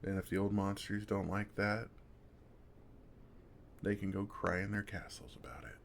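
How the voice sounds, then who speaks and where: Kind older male vampire, acted by a man for comfort ASMR: deep, soft-spoken, gently teasing, faint noise behind. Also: steady tone, normal speed, gravelly. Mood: warm, lightly joking. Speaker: ASMR roleplay performer. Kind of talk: intimate ASMR roleplay, second-person comforting.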